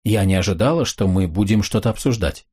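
A man's voice: lowish voice, fairly quick, darkish tone, breathy edge. A man reading as a shy Alabama woman writer. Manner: shy, hesitant murmur. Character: timid Alabama woman crime writer, middle-aged.

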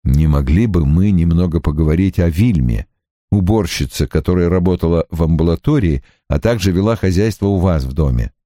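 Man acting male private detective, composed, polite, measured questioning, dry audiobook recording. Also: low voice, warm tone, dry recording.

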